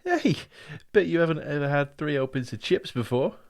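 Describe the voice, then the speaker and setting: A man voicing an excitable schoolboy brother who gushes breathlessly in an audiobook story. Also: medium pitch, moderate pace, pitched above the performer's own voice. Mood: excited. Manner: gushing, breathless boasting.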